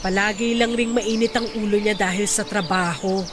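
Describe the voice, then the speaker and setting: A woman playing a caring, proud middle-aged mother speaking gently in a Tagalog radio drama. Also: medium pitch, fairly even intonation, normal speed, noisy background, close to an everyday voice. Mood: gently concerned. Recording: loud music bed behind the voice.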